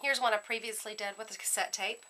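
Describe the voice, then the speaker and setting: A woman giving a casual step-by-step craft demonstration at her worktable, chatting in a relaxed way while working with her hands. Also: mid-range voice, quick pace, noisy background. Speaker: craft tutorial host.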